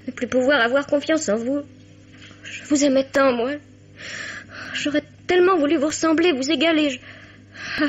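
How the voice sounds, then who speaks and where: Indignant, high-voiced wounded girl. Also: breathy edge. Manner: accusing, impassioned, formal address. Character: indignant, wounded teenage girl confronting her father's mistress. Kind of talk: 1950s French radio mystery acting. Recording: dull, lo-fi vintage radio sound.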